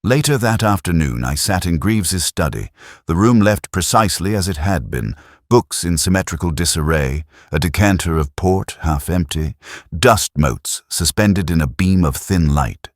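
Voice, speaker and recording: A man performs as a melancholy male detective telling his case in the first person. The voice is extremely low, with lively rises and falls in pitch. The delivery is measured, reflective and literary, like a scripted mystery drama, in a dry recording. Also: dry recording. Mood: brooding, watchful.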